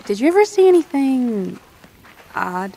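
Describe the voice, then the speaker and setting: An actress playing a helpful, matter-of-fact military lieutenant in an audio drama, speaking briskly and plainly. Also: female voice, a little high, sing-song, creaky, warm tone, full character voice, poor audio. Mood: businesslike, curious. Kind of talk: naturalistic audio drama dialogue.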